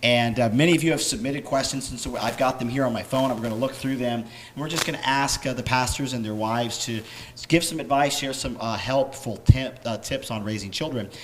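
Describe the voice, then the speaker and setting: A man with a hoarse, worn-out voice, a pastor hosting a question and answer panel in a halting, casual way. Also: mid-range voice, slight background noise. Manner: plain, halting, many fillers. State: hoarse, lost his voice. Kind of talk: casual church panel talk.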